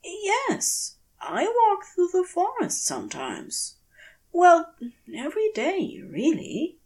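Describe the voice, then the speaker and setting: A woman voicing a polite, timid, bewildered gentle man in a storybook tale, hesitant and courteous. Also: very high-pitched, wildly swooping pitch, slow pace, far from the mic, voice pushed up, full character voice. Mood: flustered.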